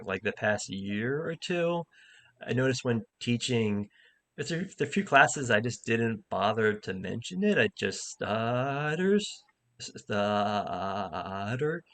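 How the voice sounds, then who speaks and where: A man's voice: mid-range voice, a bit bright. A man who stutters, an improv teacher and comic, talking candidly in a casual conversation with many repeated words and restarts. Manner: candid, halting, thoughtful.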